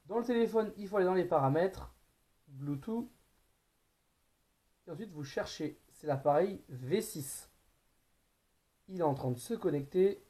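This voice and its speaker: A man's voice: high-pitched, animated, a little slow, long pauses, dark tone. A man presenting a product in a casual hands-on tutorial, informal and thinking aloud as he goes.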